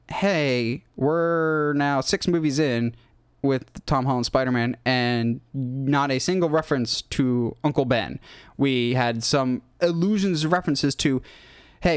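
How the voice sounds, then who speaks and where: Higher-voiced man, casual podcast commentary. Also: no echo, clean studio sound. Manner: opinionated, rambling fan talk with tangents. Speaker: podcast host. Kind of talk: casual movie news commentary.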